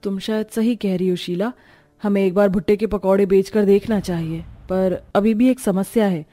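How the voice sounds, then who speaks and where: A woman voicing a poor young married woman in a cartoon story, gentle, concerned and practical. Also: medium pitch, fairly even intonation, moderate pace, natural voice. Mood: doubtful. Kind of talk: cartoon story character dialogue.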